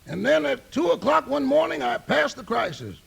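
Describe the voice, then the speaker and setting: An actor playing a loyal, wisecracking valet in a 1950s radio comedy, raspy and emphatic with comic timing. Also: male voice, very high-pitched, sing-song, normal speed, heavily acted. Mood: earnest storytelling. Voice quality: raspy, gravelly. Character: loyal wisecracking male valet chatting with a porter.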